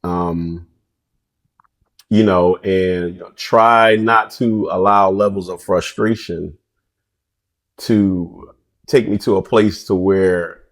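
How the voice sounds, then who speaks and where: Middle-aged man, fairly low voice, easygoing and emphatic; casual podcast co-host. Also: takes it slowly, long pauses. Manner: relaxed, emphatic, colloquial. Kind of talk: casual chat.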